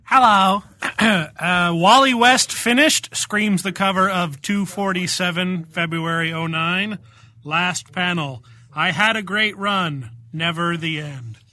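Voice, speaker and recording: A man's voice: high voice, takes it slowly, sharp tone. A man guesting on a podcast, reading aloud from a comic book.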